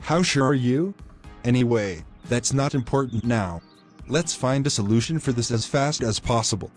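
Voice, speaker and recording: A male actor plays a selfish young boyfriend in a moral-story audio drama. He argues in formal, wordy lines and keeps pushing his point. His voice sits at an average male pitch, with soft music underneath. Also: lively intonation, moderate pace, natural voice. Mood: dismissive, evasive. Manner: formal wordy phrasing, insistent. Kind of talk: scripted moral-story dialogue.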